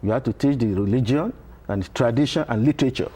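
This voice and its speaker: A middle-aged Nigerian man, a Yoruba-speaking professor, explaining patiently in a TV interview with frequent pauses. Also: medium pitch, dark tone, slightly rough. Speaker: professor, guest. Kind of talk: interview conversation. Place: television studio.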